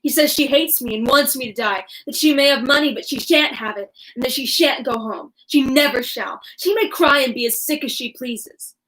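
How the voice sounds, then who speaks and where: A woman's voice: sits high, normal speed, hardly any pauses, fairly bright tone, low-quality recording. A woman voicing a sulky, spoiled, sickly young man who speaks petulantly.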